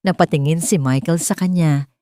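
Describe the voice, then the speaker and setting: A woman storyteller reading a scripted romantic drama in a solemn, emotive and deliberate manner. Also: sits low, warm tone, no echo, studio quality.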